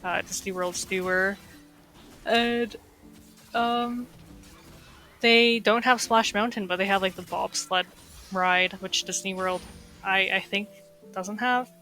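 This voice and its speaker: A woman's voice: mid-range voice, steady tone, slow, long pauses, sharp tone, faint music behind. A woman with a slightly nasal, stuffy voice from being sick, chatting casually over her gameplay with lots of fillers. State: recovering from illness, slightly stuffy. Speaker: gaming streamer.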